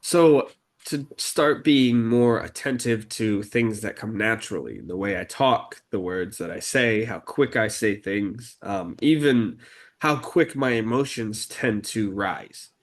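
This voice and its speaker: A man co-hosting a podcast, talking slowly and deliberately in a casual chat, with frequent fillers and restarts. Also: fairly low pitch, lively intonation. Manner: halting, many fillers and restarts, deliberately slowed speech. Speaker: podcast co-host. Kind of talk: casual conversational podcast talk.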